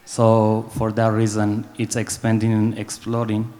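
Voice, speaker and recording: A man's voice: on the low side, completely flat delivery, measured pace, dark tone. A man from Armenia introducing himself as a business panelist at a conference. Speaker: panelist, shipping business owner.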